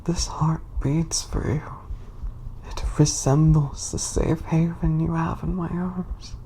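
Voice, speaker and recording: A woman voicing a possessive, soft-spoken yandere bunny boy in a breathy, coaxing ASMR whisper. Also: low voice, fairly even intonation, a little hiss or hum, low-quality recording. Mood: tender, possessive. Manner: slow, soothing, possessive. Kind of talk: ASMR roleplay.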